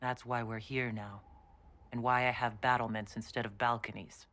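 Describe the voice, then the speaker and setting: A man's voice: medium pitch, flat delivery, normal speed, pushed and bright, close to an everyday voice. Game casting: male actor for earnest commander, mid-range voice, direct and composed. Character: composed, earnest male leader of a fantasy order. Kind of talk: dramatic video game cutscene acting.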